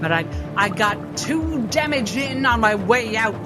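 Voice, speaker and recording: A woman's voice: a little high, lively intonation, unhurried. A woman talking casually as a player in a tabletop roleplaying game, calling out moves and numbers. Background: background music.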